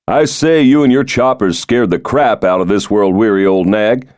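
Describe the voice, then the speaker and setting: A man plays a middle-aged country doctor in a thriller audiobook. He is wry and a little weary, delivering understated jokes in a low voice on a dry, close recording. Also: moderate pace, darkish tone, dry recording, natural voice. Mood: mock complaint. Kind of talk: audiobook character dialogue.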